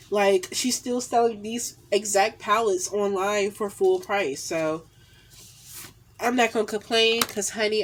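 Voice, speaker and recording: A woman's voice: medium pitch, a little hiss or hum. A woman in her thirties with a nasal, stuffy voice from a cold, chatting casually about makeup palettes, filling her talk with "um" and repeated "definitely", recorded on a phone held at arm's length in a small room at home. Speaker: beauty vlogger. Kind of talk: casual makeup haul review. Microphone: phone camera at arm's length.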